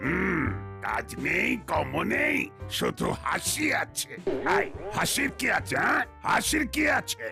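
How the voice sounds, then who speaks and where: A man's voice: high-pitched, wildly swooping pitch, slow, vocal fry, full character voice. A man voicing a pompous, cowardly village police inspector in a cartoon, stammering and repeating words when flustered. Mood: blustering. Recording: loud background music.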